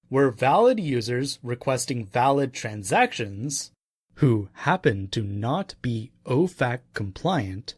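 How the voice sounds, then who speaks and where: Unhurried male narrator, lively intonation. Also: medium pitch, slightly rough, no echo, studio quality. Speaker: audiobook narrator. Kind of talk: scripted nonfiction audiobook narration.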